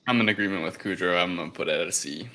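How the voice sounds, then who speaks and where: A man's voice: low voice, very fast, sharp tone. A man on a podcast panel, casually chatting through his opinions on movies.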